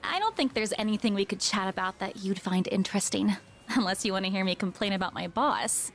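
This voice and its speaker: A woman's voice: medium pitch, expressive, a little fast, slight background noise, lightly acted. An actress voicing an easygoing, teasing young working woman in a video game, with a friendly, coaxing manner. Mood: playful, coaxing. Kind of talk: English video game voice acting.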